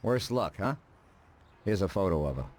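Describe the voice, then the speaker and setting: A man playing a calm, calculating middle-aged mob advisor in a video game, speaking in a dry, deliberate, matter-of-fact way. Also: low voice. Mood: coolly ruthless. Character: calm, calculating male mafia consigliere, drily ruthless.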